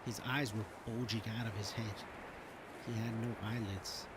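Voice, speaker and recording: A man's voice: lowish voice, fairly even intonation, normal speed, very noisy. A man giving casual gameplay commentary as a former developer. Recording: loud game sound behind voice.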